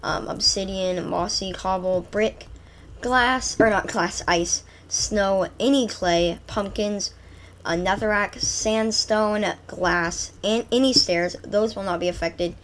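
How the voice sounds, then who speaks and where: Stuffy-nosed excitable woman gamer. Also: mid-range voice, takes it slowly, a little hiss or hum. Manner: excitable, enthusiastic, rambling lists with fillers. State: stuffy from a cold. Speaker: gaming channel host. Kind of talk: casual gameplay commentary.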